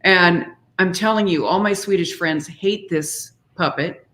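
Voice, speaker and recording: A woman's voice: lowish voice, unhurried, a bit bright. A woman speaking as an interview guest, explaining singing diction conversationally and demonstrating by example. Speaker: interview guest, singer and author.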